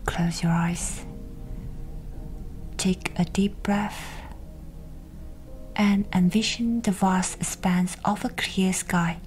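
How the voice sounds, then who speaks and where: A woman with a breathy, whispery voice leads a scripted guided meditation in a soothing, unhurried way, with pauses between instructions. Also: mid-range voice, warm tone, rough recording. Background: music.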